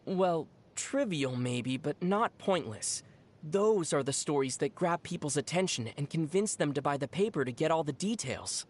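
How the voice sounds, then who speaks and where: An actor voicing a young traveling adventurer in a video game, level-headed and gently teasing, explaining things in a dry, playful way. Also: mid-range voice, animated, moderate pace, a little hiss or hum, lightly acted. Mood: dry, explanatory. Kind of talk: video game character dialogue.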